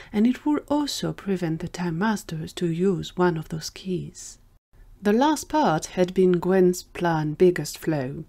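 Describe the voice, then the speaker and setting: A woman's voice: lowish voice, darkish tone. A woman narrating a scripted audio drama as its storyteller.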